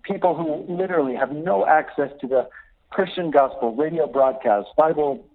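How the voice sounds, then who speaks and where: A middle-aged man calling in as a missionary guest, telling stories in a lively, animated way and laughing often. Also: fairly high pitch, moderate pace. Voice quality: laughs often while talking. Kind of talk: phone interview, storytelling. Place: calling in from abroad. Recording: choppy phone line.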